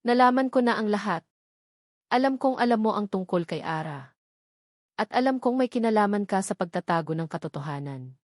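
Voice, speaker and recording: A woman's voice: mid-range voice, lively intonation, moderate pace, a little mellow, no echo, clean studio sound, lightly acted. A Filipino woman playing a wronged wealthy young man in a story, firm, serious and demanding. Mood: firm, accusing.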